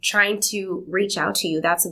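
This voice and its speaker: A woman's voice: mid-range voice, fairly even intonation, measured pace. A young woman from Argentina, answering interview questions in a rambling, storytelling way. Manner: warm, rambling storytelling with laughs. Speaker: podcast guest.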